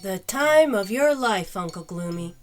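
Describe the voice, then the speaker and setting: A woman playing a deadpan construct girl. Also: medium pitch, measured pace, faint music behind, natural voice. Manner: dry, deadpan. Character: scarred construct girl, dry and unimpressed.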